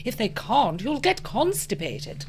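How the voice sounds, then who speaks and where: A woman's voice: on the high side, sing-song, a little slow, a little hiss or hum. A woman acting a motherly, knowing friend in a spoof 1940s radio advert, soothing and matter-of-fact. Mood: reassuring.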